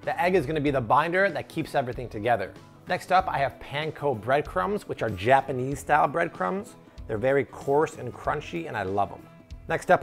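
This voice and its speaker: A man in his thirties hosting an upbeat cooking tutorial in a home kitchen, playful and full of jokey asides. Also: on the high side. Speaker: cooking show host.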